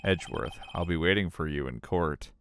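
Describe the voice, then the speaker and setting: A man's voice: low-pitched, moderate pace, fairly bright tone, a little hiss or hum. A man voicing a supportive, slightly flustered defence lawyer in a fan dub of a video game. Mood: earnest, encouraging. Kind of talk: fan voice acting of a visual novel game.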